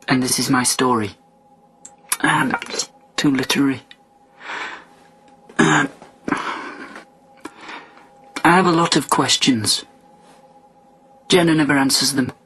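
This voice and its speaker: A man's voice: medium pitch, fairly bright tone, slight background noise. An actor playing a bitter male astronaut woken from a long coma, sardonic and insistent in a radio drama. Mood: uneasy, rambling. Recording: music behind the voice.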